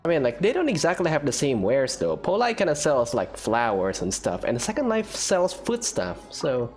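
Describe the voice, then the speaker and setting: A woman's voice: very deep voice, big pitch swings, normal speed, darkish tone, faint music behind. A woman giving casual let's play commentary, with laid-back, wry asides. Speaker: gamer commenting on a playthrough.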